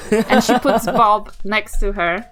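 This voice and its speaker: A woman player casually improvising in a tabletop role-playing game, halting as she thinks aloud. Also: mid-range voice, over music.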